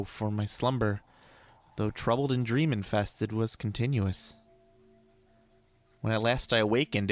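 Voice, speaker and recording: A man reading gothic horror as a despairing, doomed sailor, with a grave, measured, anguished delivery. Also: mid-range voice, natural voice. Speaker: story narrator.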